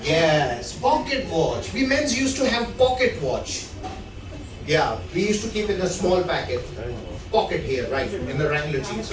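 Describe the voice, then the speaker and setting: A middle-aged man from India with an Indian English accent, giving a chatty talk to a live audience and asking them questions. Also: sits high. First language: not English. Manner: chatty, asks the crowd questions. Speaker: watch specialist presenter. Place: room at a live event. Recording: echoey room, speaker far from mic.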